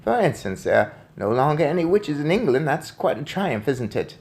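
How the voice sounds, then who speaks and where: A man voicing a loving, proud and crafty old Norwegian grandmother in a storybook, with measured, emphatic delivery. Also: fairly high pitch, sing-song, creaky, darkish tone, slight background noise. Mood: reassuring.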